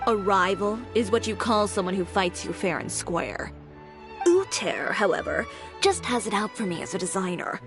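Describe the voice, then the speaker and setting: A composed young fashion designer with a cool, measured delivery, voiced by a woman. Her voice sits at a middling pitch, calm and controlled. Game music plays clearly underneath. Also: close to an everyday voice. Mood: firm. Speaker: boutique owner character. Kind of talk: video game voice acting.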